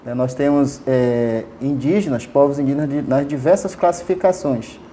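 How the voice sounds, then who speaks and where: Mellow-voiced guy explaining things in an interview, keeps restarting and tagging questions, bit of background hiss. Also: medium pitch, moderate pace. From Amazonas, Brazil. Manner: hesitant, many fillers and restarts, frequent tag questions. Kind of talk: casual explanatory interview answers.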